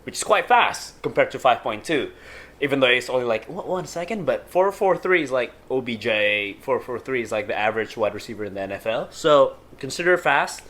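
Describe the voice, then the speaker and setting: Young guy, upbeat and laughing a lot, english a bit broken, pretty average pitch. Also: lively intonation, fairly quick, a bit bright. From Indonesia. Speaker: guest, athlete and personal trainer. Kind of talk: casual interview chat.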